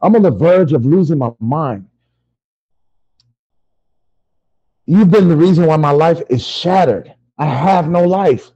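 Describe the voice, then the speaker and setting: A man playing an anguished, angry victim tormented since childhood, with a husky voice, in a dramatic scene over a video call. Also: high voice, expressive, fast talker, mellow, dull audio. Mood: furious, anguished.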